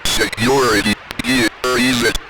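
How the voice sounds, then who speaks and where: An automated machine voice reading out transmission notices in a cold, impersonal, halting robotic manner. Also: medium pitch, loud music behind the voice, close to an everyday voice. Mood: impersonal, emotionless. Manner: flat, halting, robotic phrasing. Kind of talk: automated scripted announcement.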